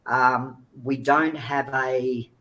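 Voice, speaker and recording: A man's voice: medium pitch, fairly even intonation, extremely slow, bright, forceful tone, poor audio. Man explaining earnestly. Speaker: guest speaker, child recovery agency founder. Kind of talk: informal interview answers. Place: online video call.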